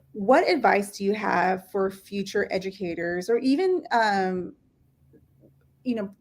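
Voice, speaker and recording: A woman hosting a live interview, upbeat and enthusiastic as she asks her questions. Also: medium pitch.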